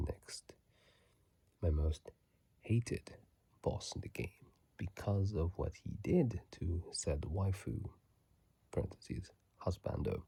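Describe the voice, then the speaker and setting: A man whispering softly and closely into a phone mic in an unhurried ASMR style, reading and chatting casually about a video game. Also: low-pitched, creaky, husky, no room sound.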